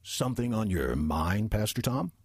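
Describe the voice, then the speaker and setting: Man playing ancient demon in old usher's guise, very low gravelly voice, American accent, sardonic, swinging intonation, horror radio play. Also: animated. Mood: mild, folksy. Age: older. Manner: wry, sardonic, deliberate storytelling. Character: sly ancient demon posing as respected old church usher. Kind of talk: audio drama first-person narration.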